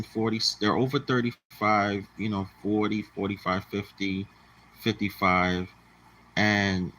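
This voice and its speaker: A man close to fifty talks bluntly to his audience, repeating his points like a lecture. His voice sits on the low side but carries a bright, forceful edge. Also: rough recording. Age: 40s. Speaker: channel host. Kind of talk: casual monologue to the camera.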